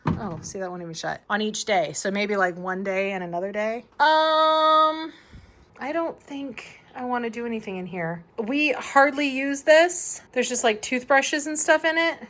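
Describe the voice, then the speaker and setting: A hoarse woman vlogging casually as she walks through her family house, chatty and rambling, laughing now and then. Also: a little high, a little slow, a bit bright. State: hoarse from a long cough.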